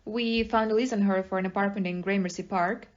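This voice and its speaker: Brash, streetwise police inspector acted by a woman for an audio play; medium-pitched, slangy and plain-spoken, on a dullish lo-fi recording. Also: steady tone, fairly quick, lightly acted. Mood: matter-of-fact. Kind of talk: amateur audio play acting.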